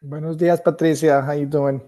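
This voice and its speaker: A middle-aged man with a Colombian accent talks as a guest in a casual interview. His voice sits a little high for a man. He is a native Spanish speaker, informal and talkative as he tells his own story. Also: little pitch movement, moderate pace, dark tone. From Bogota, Colombia. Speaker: guest, nonprofit executive.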